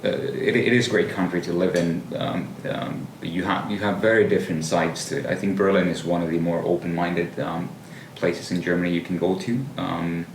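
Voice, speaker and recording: A young man with a very low voice talks in a relaxed, thoughtful way, pausing on fillers and restarting phrases. He sounds set back from the mic in a roomy space, with a faint hiss underneath. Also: recorded from a distance. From Finland. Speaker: guest. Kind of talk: casual podcast chat.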